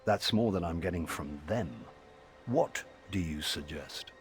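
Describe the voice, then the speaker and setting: A disdainful, regal king from a fantasy game. His voice sits low and measured, full of pride and suspicion. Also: lively intonation. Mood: weary. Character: proud, suspicious, disdainful male human king. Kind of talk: fantasy game dialogue acting.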